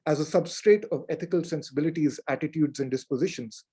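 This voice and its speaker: A man's voice: a little high, fast, warm tone, slightly rough. A man reading prepared academic remarks at a book panel in an earnest, formal way.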